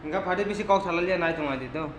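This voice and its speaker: A man's voice: a little high, rapid-fire. A man hosting a vlog, talking casually to his viewers as he introduces it. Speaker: vlogger host. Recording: roomy echo, faint background noise.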